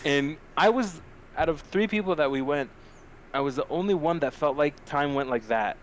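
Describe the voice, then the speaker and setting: A man co-hosting a casual podcast, talking in an animated, slangy way and swearing freely. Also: fairly high pitch, moderate pace, slight background noise. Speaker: podcast co-host. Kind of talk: casual movie-review banter.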